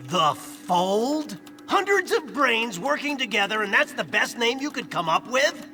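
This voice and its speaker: Young quippy superhero, very high voice, extremely bright, flippant, cheeky, video game. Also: male voice, big pitch swings, unhurried, soft background music, full character voice. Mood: mocking. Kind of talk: video game cutscene acting.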